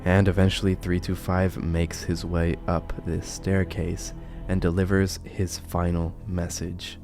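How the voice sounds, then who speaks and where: A man's voice: deep voice, completely flat delivery, unhurried, gravelly, loud music behind the voice. A man narrating and commenting on horror videos as an online host.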